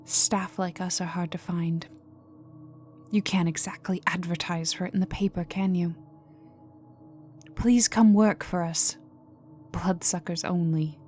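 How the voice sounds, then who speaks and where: A woman performs a cold vampire noblewoman for a dark ASMR roleplay. Her voice is on the low side and very unhurried, often sinking into a whisper. She is condescending, teasing and quietly menacing, over moody ambient music. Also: steady tone, close to an everyday voice. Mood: disdainful. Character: cold, haughty aristocratic female vampire, menacing and amused. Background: dark atmospheric music with resonant tones.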